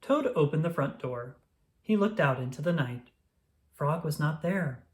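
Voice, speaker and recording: A narrator reading a children's story aloud in an expressive, scripted read-aloud style. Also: medium pitch, unhurried.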